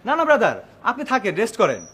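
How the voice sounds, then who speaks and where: An eager young husband in a TV drama, very polite and hospitable. He talks extremely fast in a lively, swooping voice with a Bangladeshi accent, over faint background noise. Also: high voice. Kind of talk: TV drama acting.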